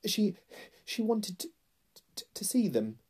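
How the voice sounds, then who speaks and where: A scared young storybook boy, played by a man, pitched high. He stammers and trembles through his words, eager to please and pleading. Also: moderate pace, dark tone, close to an everyday voice. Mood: nervous. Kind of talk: storybook character voice.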